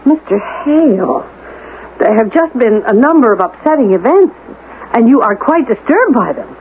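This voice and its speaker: A woman's voice: a little high, very animated, moderate pace. An actress playing a composed, persistent amateur sleuth in a radio drama, speaking precisely and insistently as she challenges and probes. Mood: earnest, reassuring. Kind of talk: 1970s radio drama acting. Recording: tinny, noisy old radio broadcast recording.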